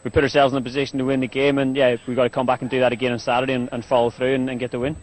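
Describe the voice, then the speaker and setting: A man talks fast in a post-match interview, casual and halting as he restarts his sentences. His voice sits at an ordinary pitch, picked up on a handheld mic. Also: level tone, rough recording. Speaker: losing team captain.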